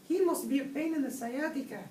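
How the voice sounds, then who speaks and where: A man voicing an excitable, boastful young kitten from a storybook, with theatrical exclamations and a put-on Spanish accent. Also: very high-pitched, a little fast, pitched above the performer's own voice. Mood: exclaiming. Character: excitable boastful young Siamese kitten who thinks he's a chihuahua. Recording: roomy, distant, noisy recording.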